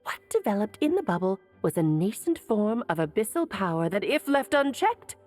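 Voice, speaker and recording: A woman's voice: mid-range voice, sing-song, moderate pace, a little mellow, faint music behind. An actress playing a worldly, playful sorceress mother in a video game, teasing and eloquent in an airy, theatrical way. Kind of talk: video game character acting.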